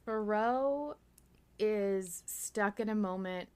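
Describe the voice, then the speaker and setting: A woman's voice: mid-range voice, takes it slowly, a little mellow, clear, resonant tone. A woman co-hosting a podcast in casual fan discussion, talking in a giggly, casually sweary way. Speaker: podcast host.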